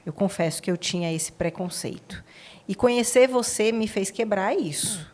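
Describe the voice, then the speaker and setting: A woman's voice: medium pitch, normal speed, darkish tone. A woman with a Brazilian accent hosting a podcast, chatting casually and expressively, with informal questions and exclamations. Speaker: podcast host. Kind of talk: casual interview chat.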